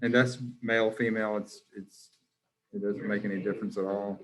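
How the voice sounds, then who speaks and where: A man's voice: on the low side, little pitch movement, brisk. A man teaching a class over a video call, joking and teasing his students, with many restarts.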